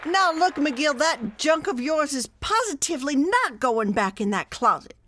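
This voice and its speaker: An actress playing an exasperated, bossy wife in an old-time radio comedy, with an emphatic, theatrical delivery. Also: female voice, high voice, sing-song, normal speed, a crowd in the background, noisy background. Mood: firm, bossy.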